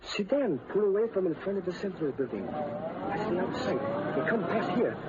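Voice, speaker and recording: A loyal, anxious manservant speaks high and swoopingly in clipped broken English. It is old radio drama, muffled under heavy noise. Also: male voice, very animated, normal speed. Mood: alert, watchful. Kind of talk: 1930s-40s radio drama acting. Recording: dull, very noisy vintage radio recording.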